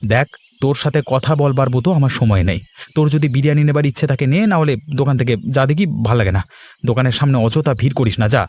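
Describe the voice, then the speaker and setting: A man's voice: medium pitch, fast, a little mellow, natural voice. A man voicing a greedy, boastful village biryani seller in a cartoon, with a pushy, brash manner. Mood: irritated. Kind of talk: Bengali moral-story cartoon dubbing.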